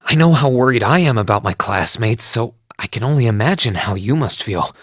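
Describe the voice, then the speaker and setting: An actor playing an earnest, kind teenage hero in an anime game dub, sincere and considerate in manner. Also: male voice, mid-range voice, lively intonation, fairly quick, slight background noise, lightly acted. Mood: reassuring.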